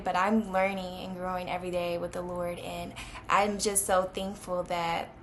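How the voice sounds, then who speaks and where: A woman's voice: on the low side, gravelly. Woman, 20, chatty vlogger, lots of "like" and "um", faint noise. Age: 20s. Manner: chatty, many fillers like "like" and "um". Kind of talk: casual talk to camera.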